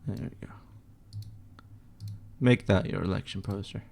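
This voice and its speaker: A man's voice: fairly low pitch, unhurried, vocal fry, a little mellow. A young man from Sweden hosting a casual livestream, reading out chat questions and answering in an offhand, mumbling way, swearing under his breath as he works. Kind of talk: casual livestream chat while editing images.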